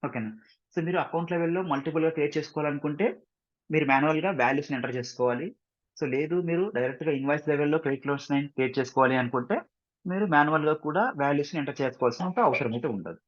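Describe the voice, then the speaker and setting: A man with an Indian accent giving an informal software tutorial, repeating himself, mixing in English terms and often checking that listeners follow. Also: a little high, rapid-fire, highs cut off. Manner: repetitive, frequent check-in questions, mixes English terms into speech. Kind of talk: screen-share tutorial, informal explanation.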